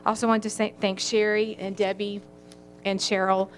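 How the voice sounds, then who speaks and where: A woman with a Southern Tennessee accent reads a prepared campaign statement at a county forum. Her voice sits at a medium pitch, and she speaks in a steady, sincere way. A faint hum runs behind her. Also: moderate pace. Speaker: county commission candidate. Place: county government meeting room.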